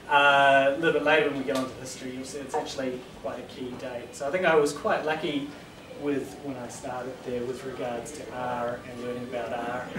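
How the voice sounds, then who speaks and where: A man's voice: on the high side, background noise. A man in his thirties with a New Zealand accent, giving a casual, self-deprecating talk to a meetup in a small brick bar room, heard from a far camera. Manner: self-deprecating, joking, many ums. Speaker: statistics lecturer giving a talk. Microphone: far camera, no mic. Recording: echoey room from far off, crowd.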